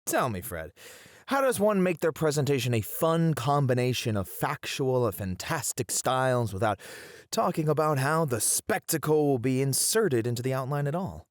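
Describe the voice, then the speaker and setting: A man's voice: medium pitch, sing-song, moderate pace, no room sound, studio quality, natural voice. A man acting in an ASMR roleplay as a playful educator with theatrical flair, bantering and flirty in his delivery. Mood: teasing, playful.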